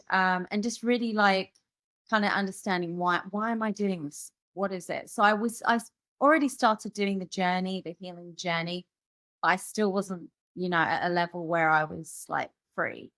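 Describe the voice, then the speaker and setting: A woman's voice: mid-range voice, normal speed, fairly bright tone, no echo. Female musician guest from the UK, candid storyteller, filler-heavy, dry recording. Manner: rambling, many fillers and restarts.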